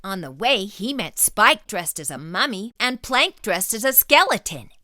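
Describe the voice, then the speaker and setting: A woman reading a children's book aloud as a storytime host, with expressive, dramatic storytelling. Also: mid-range voice, a little slow, dry recording, clean studio sound.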